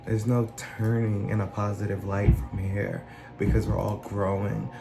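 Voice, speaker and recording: Low gravelly man musing earnestly. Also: level tone, measured pace, roomy sound, music in the background. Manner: earnest, musing, repeats and restarts phrases. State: recovering from illness, voice still rough. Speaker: channel host. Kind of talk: casual reflective monologue.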